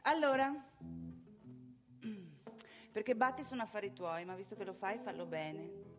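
An actress playing a brash, worldly prostitute who instructs a newcomer in a frank, knowing stage monologue. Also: female voice, mid-range voice, big pitch swings, takes it slowly, music in the background. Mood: frank, instructive. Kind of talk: theatrical monologue.

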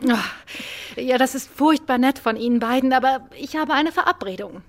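A woman's voice: high voice, moderate pace, no echo. An actress playing a polite, anxious, easily flustered lady in a German radio play, with a hesitant, effusively courteous manner. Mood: polite, concerned.

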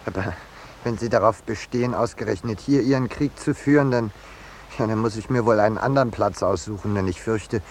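An actor playing a mild, peace-loving painter in a 1950s radio play, polite, hesitant and dryly ironic. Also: male voice, on the low side, brisk, darkish tone, lightly acted. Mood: politely ironic. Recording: noisy old 1950s radio recording.

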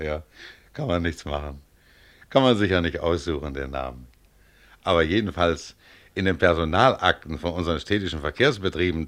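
An actor playing a modest, contented tram driver of about forty in a German radio play, plain-spoken, chatty and proud of his work. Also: low voice. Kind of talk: German radio play acting, conversational monologue.

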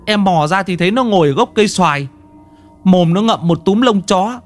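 A man's voice: high voice, expressive, normal speed, faint music behind, voice pushed up. A man voicing a panicked young village husband, pleading for help.

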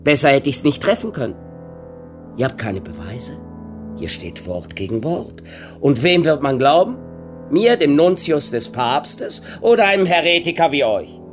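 An actor playing an arrogant, scheming and ruthless papal nuncio in a German radio play, haughty and vehement. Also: male voice, fairly high pitch, very animated, measured pace, music in the background. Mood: cold, self-righteous. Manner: haughty, vehement, erupting into shouts.

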